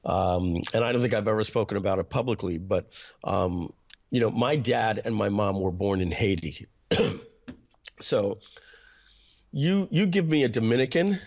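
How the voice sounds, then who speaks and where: A New man in his fifties with a somewhat low voice speaks as an interview guest. He talks in a halting, candid way, with frequent um's, restarts and throat clearing while recounting his own past. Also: lively intonation, a little mellow. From New York. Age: 50s. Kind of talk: personal storytelling in an interview.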